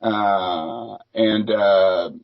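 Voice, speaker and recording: A man's voice: fairly low pitch, fairly even intonation, drawn-out words, vocal fry. Rambling man from Philadelphia, lo-fi. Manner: rambling, many fillers and restarts. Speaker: musician guest. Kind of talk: casual interview chat. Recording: dull lo-fi remote audio.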